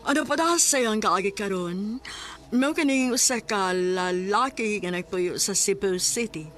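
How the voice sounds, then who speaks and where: A woman's voice: mid-range voice, unhurried. A woman with a Cebuano Filipino accent giving a scripted radio program introduction as narrator. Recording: voice under loud music.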